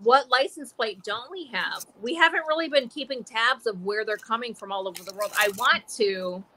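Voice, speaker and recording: A man's voice: very high voice, normal speed, sharp tone, slight background noise, low-quality recording. A man whose first language is Arabic, co-hosting a casual vlog, reading out live comments with short upbeat reactions. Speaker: channel co-host.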